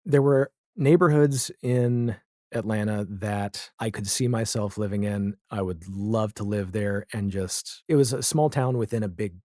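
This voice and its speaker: A man's voice: fairly low pitch, measured pace, darkish tone, no echo, clean studio sound. A man speaking casually and reflectively as a guest in a conversational podcast interview.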